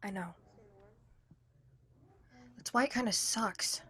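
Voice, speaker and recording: A woman's voice: mid-range voice, slow, sharp tone, husky, heavily acted. A young woman acting in an intimate radio drama as a doubtful, probing lover with a vulnerable manner. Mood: subdued. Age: young adult.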